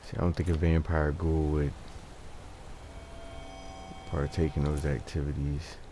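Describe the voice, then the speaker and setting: A man giving casual gameplay commentary as a video host, low-key and halting as he thinks aloud. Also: level tone, mellow, slight background noise.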